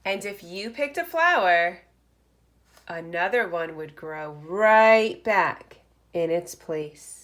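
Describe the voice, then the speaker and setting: Female read-aloud teacher for young children: expressive storyteller, unhurried pace, lively melodic range. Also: lowish voice. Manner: expressive, deliberate storytelling with short phrases and asides. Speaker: teacher reading aloud to first graders.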